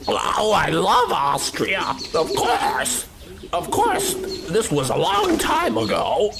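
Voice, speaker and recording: An actor playing a dreamy, halting old romantic in a comic radio play. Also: mid-range voice, huge pitch swings, vocal fry, heavily acted. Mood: wistful, hesitant. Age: older. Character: romantic old man with pigeons in park. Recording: very noisy, birds cooing behind.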